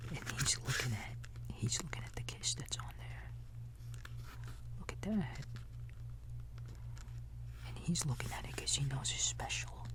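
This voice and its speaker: Whispering, gentle raccoon babysitter played by a man. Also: medium pitch, exaggerated voice. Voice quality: soft, breathy whisper. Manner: tender, slow, folksy, childlike simple wording. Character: gentle male raccoon babysitter, big-brotherly, reading bedtime stories. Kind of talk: ASMR roleplay, storybook reading with asides.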